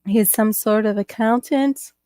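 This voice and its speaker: A woman co-hosting a casual live chat, playful and chatty, quick to laugh. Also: on the high side, dark tone.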